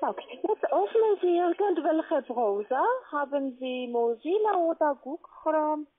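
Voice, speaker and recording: A woman with a high voice and a foreign accent in German speaks over a tinny phone line. She gives instructions step by step like a call-center agent, insistently checking that each one is followed. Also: expressive, unhurried. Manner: insistent, repeatedly checking with tag questions.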